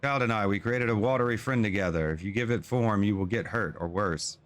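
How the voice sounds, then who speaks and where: A man voicing a lonely, threatening nightmare spirit in a low, plain reading voice. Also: brisk, close to an everyday voice. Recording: faint music behind the voice.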